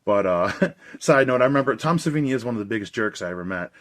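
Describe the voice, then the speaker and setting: Slightly hoarse chatty guy. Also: mid-range voice, expressive, fast talker, a bit bright. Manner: chatty, rambling, enthusiastic. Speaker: movie collector and reviewer. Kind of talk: casual video review.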